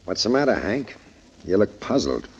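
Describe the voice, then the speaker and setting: An actor playing a cocky, mocking gangster in a 1940s radio crime drama, full of slangy wisecracks. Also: male voice, lowish voice, big pitch swings, moderate pace, noisy background. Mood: smug. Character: cocky, sneering gangster, a fight-fixing gambler. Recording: muffled old 1940s radio recording.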